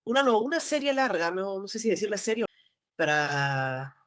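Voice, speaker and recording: A woman's voice: mid-range voice, lively intonation, normal speed, a bit bright. A woman from Lima with a Peruvian accent, an actress talking casually in an interview, full of restarts and fillers. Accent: Lima Peruvian Spanish. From Peru. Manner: rambling, many restarts and fillers. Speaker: actress guest.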